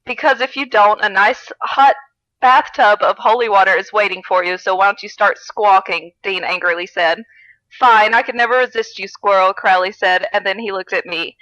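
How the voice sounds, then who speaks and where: A woman's voice: medium pitch, fairly even intonation, normal speed, sharp tone, dry recording, lo-fi sound. A woman co-hosting a podcast, giggly and wry as she chats and reads aloud for comedy. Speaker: podcast co-host. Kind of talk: comedic read-aloud of fan fiction with casual chat.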